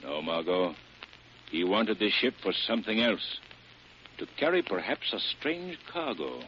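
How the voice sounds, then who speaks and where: Low, composed radio hero. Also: male voice, sing-song. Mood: grave, composed. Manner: composed, grave, deliberate. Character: calm, shrewd, cultured male crime-fighting hero. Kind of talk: old-time radio drama acting. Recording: muffled, noisy vintage radio recording.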